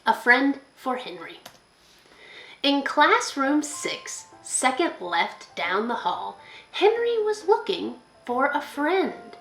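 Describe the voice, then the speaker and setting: A woman reading a children's picture book aloud as a school librarian, expressively, pausing to put questions to young listeners. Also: sits high, sing-song, vocal fry, a bit bright, echoey room, soft background music, low-quality recording.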